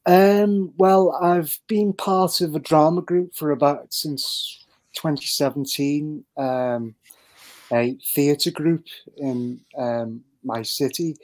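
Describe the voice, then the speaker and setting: A man's voice: on the high side, takes it slowly, a little mellow. A man speaking as a podcast guest, modest, polite and hesitant, over an online call. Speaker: guest, amateur actor. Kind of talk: interview chat.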